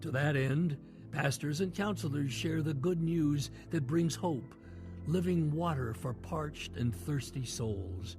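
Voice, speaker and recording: A man as announcer and narrator of a radio drama series, reading in a solemn, measured way. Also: a little high. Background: music bed.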